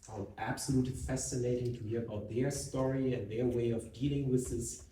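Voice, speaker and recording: A man on a discussion panel, answering in a measured way with frequent restarts. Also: mid-range voice, steady tone, mellow, slight background noise. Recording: echoey room, mic far off.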